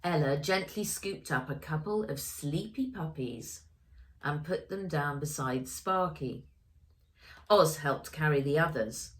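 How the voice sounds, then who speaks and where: A woman author reading her children's story aloud in an expressive storytelling style. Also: low-pitched, unhurried.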